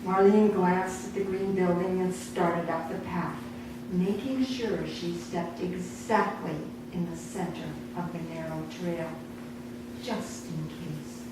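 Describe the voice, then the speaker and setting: A woman reads fiction aloud in a very reverberant room, picked up some way from the microphone. Her delivery is slow, deliberate and dramatic, shaped as expressive storytelling at a live event. Clear background noise sits behind her. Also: on the low side. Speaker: author reading own story. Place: indoor awards night event. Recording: echoey room, distant mic, noisy background.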